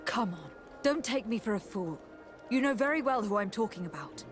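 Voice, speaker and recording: A noblewoman investigator from a video game, acted by a woman, speaks at a medium pitch with formal authority. She presses firmly and insistently, and music plays clearly behind her. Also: expressive, normal speed, natural voice. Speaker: game protagonist.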